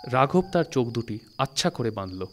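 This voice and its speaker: A man reading a literary story as narrator, in a measured, phrase-by-phrase storytelling manner. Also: mid-range voice, sing-song, fairly bright tone, slight background noise. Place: recording studio. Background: music.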